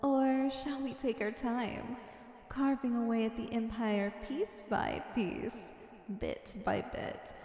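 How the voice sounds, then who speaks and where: A woman's voice: mid-range voice, darkish tone, close to an everyday voice. A woman playing a wicked, obsessively devoted villainess in a theatrical ASMR roleplay, with a grandiose, fervent delivery. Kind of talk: ASMR roleplay, scripted dramatic monologue. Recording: echoey, long reverberant hall effect.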